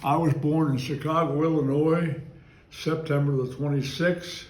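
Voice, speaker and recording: A man's voice: a little high, level tone, unhurried, a little mellow, reverberant, a little hiss or hum. A man in his nineties from Maryland, reminiscing about his childhood in an oral history interview and wandering into digressions. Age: 90s. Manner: rambling, digressive, chuckles while recalling.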